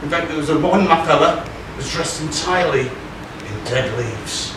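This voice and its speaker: In a noisy, very echoey hall, a man plays a ragged old pantomime chambermaid turned prisoner. The voice is fairly high, telling tales in a rambling, comic way from a distance. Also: expressive, moderate pace, close to an everyday voice. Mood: rambling, confiding. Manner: rambling, comic storytelling with hesitations. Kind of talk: stage pantomime comedy acting. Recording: very echoey hall, distant mic, clear noise.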